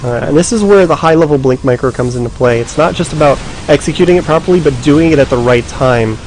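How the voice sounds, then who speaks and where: A man giving live esports commentary, explaining the play in a steady, analytical way. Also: a little high, quick pace, a little hiss or hum, poor audio.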